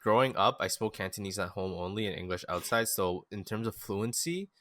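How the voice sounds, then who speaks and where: A young man hosting a casual podcast chat, thoughtful and curious. His voice sits very low but carries a bright, forceful tone, in a dry, close recording. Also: animated, brisk, no echo. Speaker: podcast host.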